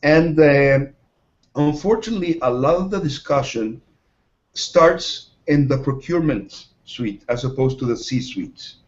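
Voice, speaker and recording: A middle-aged man from Mexico talks through his answers in a measured way. He starts over often and pauses on fillers. The recording sounds dull and lo-fi. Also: medium pitch. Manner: hesitant, many fillers and restarts. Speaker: ad agency president, guest. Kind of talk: interview answers.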